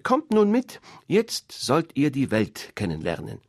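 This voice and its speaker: A man's voice: wildly swooping pitch, unhurried, dry recording, clean studio sound. A man reading a fairy tale aloud, voicing a fussy, proud mother duck in a raised, scolding voice. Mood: bossy. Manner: shrill, scolding, bossy. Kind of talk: storybook reading.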